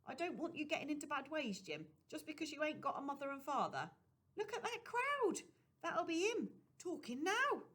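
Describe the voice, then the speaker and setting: A woman voicing a plump, panting young working girl who talks in a breathy, earnest rush. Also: sits high, very animated, normal speed, fairly bright tone, pitched above the performer's own voice. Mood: earnest, urging. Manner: breathy, wheezing, chatty.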